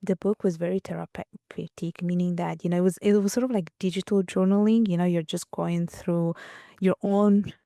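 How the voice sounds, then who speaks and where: A young woman from Italy talks things through as an interview guest, her voice low and gravelly. She pauses often, restarting her thoughts with fillers. The sound is close and dry. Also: steady tone, warm tone, no echo. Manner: reflective, many fillers and restarts. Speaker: guest author. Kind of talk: conversational interview.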